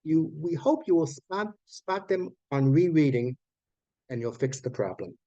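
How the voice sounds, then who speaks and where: A man's voice: fairly high pitch, expressive, dark tone, no echo, muffled recording. Man teaching an online writing class, dry sound. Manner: halting, explanatory, with repeated phrases and self-corrections. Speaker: online course teacher of academic English writing. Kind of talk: informal online lecture to a class.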